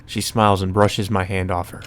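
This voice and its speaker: A man's voice: low-pitched, flat delivery, normal speed, slight background noise. A man hosting a let's play, reading a visual novel aloud and adding casual commentary. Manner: casual, profane asides. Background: music.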